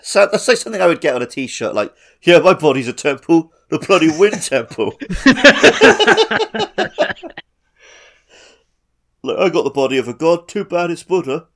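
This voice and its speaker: A man's voice: fairly high pitch, huge pitch swings, a little slow, pauses often, fairly bright tone. A man co-hosting a casual gaming podcast with wry, joking banter. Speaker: podcast co-host. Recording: faint music behind the voice.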